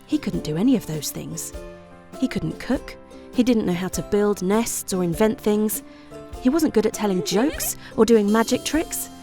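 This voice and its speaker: A woman narrating a scripted children's bedtime story with expressive, deliberate phrasing. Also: medium pitch, gravelly, faint music behind.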